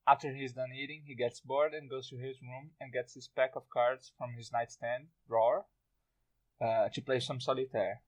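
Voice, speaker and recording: A man's voice: medium pitch, steady tone, moderate pace, rough recording. Man casually sharing his short story. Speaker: podcast participant, amateur writer. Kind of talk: casual chat, recounting own short story.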